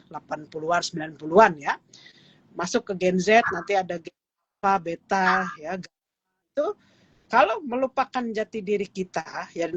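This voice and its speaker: A woman's voice: fairly low pitch, breathy edge, no room sound, highs cut off. A woman in her thirties, a guest expert, explaining heritage in an interview with many "eh" and "ya" fillers.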